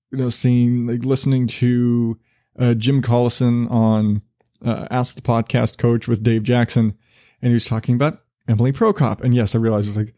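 A man with a relatively low voice hosting his own podcast, rambling casually with a loose, profane, self-deprecating manner and frequent restarts. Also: dark tone, no room sound, studio quality. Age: not a millennial. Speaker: podcast host.

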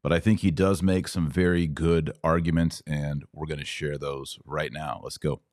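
A man's voice: very deep voice, steady tone, normal speed, a little mellow. A man hosting a podcast, commenting emphatically on clips he plays and stressing his points with rising force. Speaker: podcast host.

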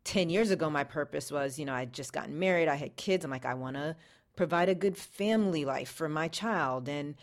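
Low-voiced woman hosting a podcast. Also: brisk. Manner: chatty, reflective, lots of "you know". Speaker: podcast host. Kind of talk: solo podcast introduction.

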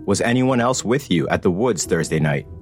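A man's voice: deep voice, expressive, normal speed, soft background music. An actor playing a suspicious male police officer who asks pointed questions.

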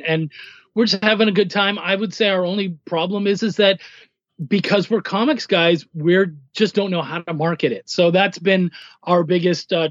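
A man's voice: high voice, fairly bright tone, dry recording, muffled recording. A man talking casually and enthusiastically as a writer guesting on a podcast. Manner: enthusiastic, informal. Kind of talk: casual chat.

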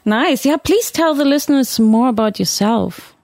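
A woman's voice: sits high, expressive, moderate pace, darkish tone, no room sound, studio quality. A woman co-hosting a podcast, chatting in an upbeat way and laughing easily. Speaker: podcast co-host. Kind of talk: casual chat.

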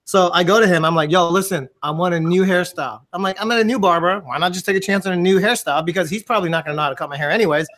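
A man co-hosting a comedy podcast, chatting in an animated, joking and sarcastic way. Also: high-pitched, racing, hardly any pauses. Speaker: podcast co-host. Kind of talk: casual comedic chat.

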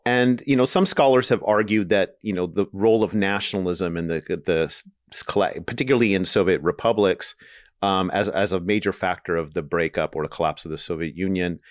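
A man's voice: low voice, a little fast, dry recording, clean studio sound. A male interviewer asking questions with some hesitation and restarts.

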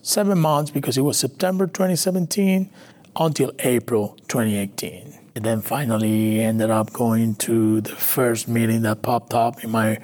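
A man's voice: mid-range voice, fairly even intonation, a little mellow. Spanish-accented man telling his story. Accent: Spanish-speaking Latin American. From Venezuela. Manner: halting, with frequent restarts and fillers. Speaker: interview guest. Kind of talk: personal recovery story, conversational.

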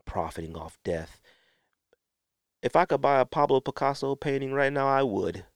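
A man's voice: mid-range voice, expressive, normal speed, clear, resonant tone, no echo. A man hosting a solo podcast, talking in a blunt, exasperated way with emphatic rhetorical questions, like an opinionated rant. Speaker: podcast host.

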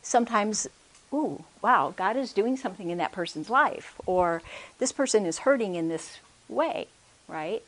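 A soft-spoken woman giving a conference workshop talk into a microphone, hesitant, with many restarts and check-ins with the audience. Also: mid-range voice, expressive, unhurried, low-quality recording. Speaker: workshop speaker. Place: conference room. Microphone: handheld or stand microphone.

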